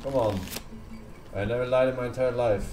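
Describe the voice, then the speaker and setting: A man's voice: medium pitch, fairly even intonation, fairly quick, music in the background, low-quality recording. A man in his thirties chatting casually and dryly while streaming a game on a close mic. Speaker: livestreamer playing an online game. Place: small room with green curtain. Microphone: close desk microphone with pop filter.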